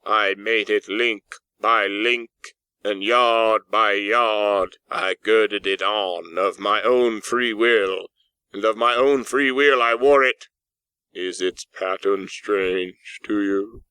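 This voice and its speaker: A man's voice: on the low side, fairly even intonation, bright, forceful tone, clear, resonant tone, no echo, low-quality recording. A man voicing a doomed, mournful spirit in chains, solemn and declamatory, drawing out the words of a storybook tale. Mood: grave, accusing. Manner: solemn, declamatory, drawn-out, woeful. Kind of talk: dramatised storybook narration.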